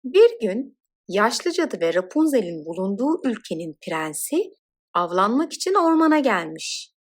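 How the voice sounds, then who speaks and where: A woman narrating a scripted children's fairy tale in an expressive, dramatic storytelling manner. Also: mid-range voice, moderate pace.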